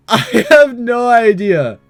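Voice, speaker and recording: A man's voice: very high-pitched, big pitch swings, very slow, no room sound, soft background music. A man giving casual, playful let's-play commentary as a gaming video host. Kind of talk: casual let's-play commentary, reading a visual novel aloud.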